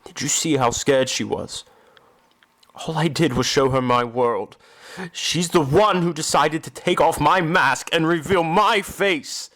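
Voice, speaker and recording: A man's voice: fairly high pitch, a bit bright. A man acting a brooding, tormented masked Phantom. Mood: anguished, bitter. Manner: formal, grave, deliberate phrasing. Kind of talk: audio drama acting.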